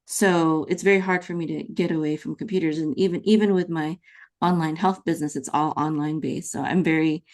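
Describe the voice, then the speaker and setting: A woman's voice: deep voice, steady tone, a little fast, a little mellow. A woman with a Californian American accent hosting a conversational podcast interview, explaining her opinions. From California, United States, born in the Philippines. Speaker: podcast host.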